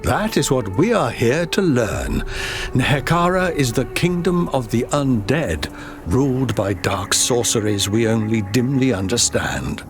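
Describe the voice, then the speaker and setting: Learned professor. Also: male voice, medium pitch, sing-song. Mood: explaining. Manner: measured, formal, lecturing. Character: scholarly male professor, expedition leader. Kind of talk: video game voice acting. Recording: game cutscene with music.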